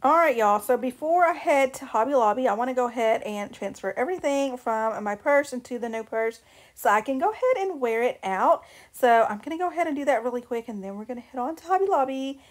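A woman's voice: sits high, animated, a little fast. A woman, chatting casually to camera as the host of her own vlog. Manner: chatty, friendly, often says "go ahead". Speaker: lifestyle vlogger host.

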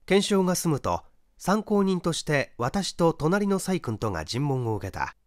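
A man reading a mystery story aloud as dramatic narration, measured and expressive with deliberate pauses. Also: on the high side, no echo, clean studio sound. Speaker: story reader, stage actor.

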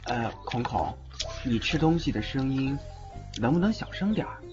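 Actor as a lovestruck young man, lively intonation, tender, flustered, audio drama, music underneath. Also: mid-range voice, normal speed, highs cut off, natural voice. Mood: fond, exasperated. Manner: expressive, tender, exasperated. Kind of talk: audio drama acting with inner monologue.

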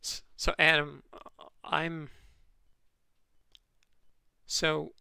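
A man with a nasal voice, hosting his channel and replying to comments in a halting, dryly sarcastic way, full of ums and restarts. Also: on the high side, monotone, gravelly, pushed and bright, smooth, no echo, studio quality. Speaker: channel host responding to comments. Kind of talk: casual commentary reading comments aloud.

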